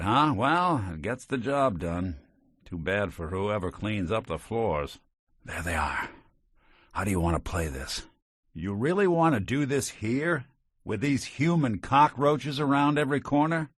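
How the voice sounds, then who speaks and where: An actor playing a world-weary male synth private detective in a video game, with a gravelly voice and dry, wry noir delivery. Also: fairly low pitch, fairly bright tone, no echo, exaggerated voice. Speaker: companion character.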